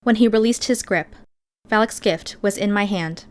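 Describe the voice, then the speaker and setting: A woman's voice: medium pitch, normal speed. A woman reading scripted first-person fiction as an audiobook narrator.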